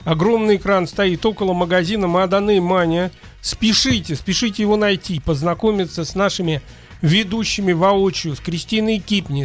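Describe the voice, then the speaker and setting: High-pitched man co-hosting radio over music. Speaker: radio co-host. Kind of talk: live radio banter and announcements. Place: radio studio. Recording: music playing behind.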